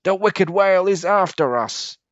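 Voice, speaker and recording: A man acts out an irritable little storybook fish, pitched well above a normal speaking voice. He is suspicious and grumbling, quick to sound the alarm, and the recording is slightly dull and dry. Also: lively intonation, a bit bright, dry recording. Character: irritable, suspicious male fish with jagged fins.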